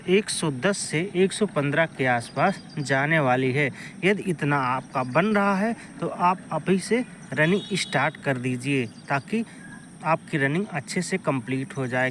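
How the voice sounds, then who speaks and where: A man's voice: a little high, fairly bright tone, slight background noise. A man explaining exam analysis to students in informal, repetitive, step-by-step phrasing. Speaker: exam-coaching presenter.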